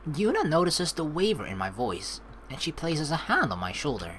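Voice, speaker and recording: Female let's play host: low pitch, very animated delivery, informal narration and asides. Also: moderate pace, a little hiss or hum. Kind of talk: gameplay commentary, reading game text aloud.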